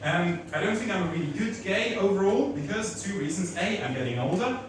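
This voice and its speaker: A man from Switzerland with a slight German accent performing a stand-up comedy set, deadpan with bursts of exaggerated delivery. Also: sits high, a little fast, recorded from a distance. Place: comedy club stage before a laughing audience. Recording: roomy club audio with audience noise.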